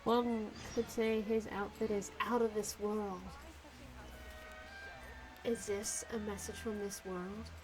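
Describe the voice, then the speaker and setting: A woman's voice: mid-range voice, little pitch movement, takes it slowly, long pauses, low-quality recording. A young woman streamer chatting casually and giggling while she plays a game, now and then talking to her cat. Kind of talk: casual gameplay commentary.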